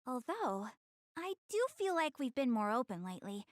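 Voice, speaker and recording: A high, very animated young goddess girl from an anime video game, recorded dry and close. She is proud and slightly haughty, yet shy and earnest, easily flustered and hesitant when she opens up. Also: normal speed, no echo. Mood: friendly, open. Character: shy, prideful young goddess girl, tsundere but caring. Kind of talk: English-dubbed anime video game acting.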